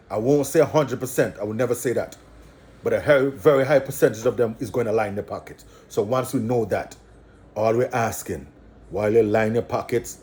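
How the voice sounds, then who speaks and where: A man's voice: mid-range voice, a little mellow. A man from Antigua with an Antiguan accent, talking his views in a casual, preachy monologue, repeating phrases for emphasis.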